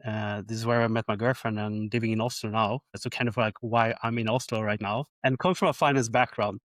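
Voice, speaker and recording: A man telling his background as an interview guest, friendly and quick to laugh. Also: fairly low pitch, fairly even intonation, dry recording, studio quality. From Sweden. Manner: friendly, laughs easily, repeats words. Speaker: guest, company co-founder. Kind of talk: interview answers.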